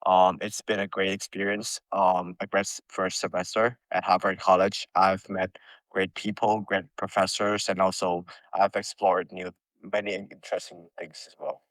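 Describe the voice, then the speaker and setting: A young man from Thailand, a college freshman, answering interview questions carefully with many pauses and ums. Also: sits low, flat delivery, a bit bright, dry recording, rough recording. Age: young adult.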